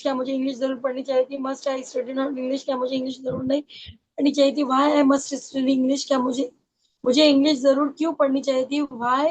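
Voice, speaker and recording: Female student, high and flat voice, alternating slow and quick phrases. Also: low-quality recording. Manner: fast in Hindi, slow in English. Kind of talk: reciting drills.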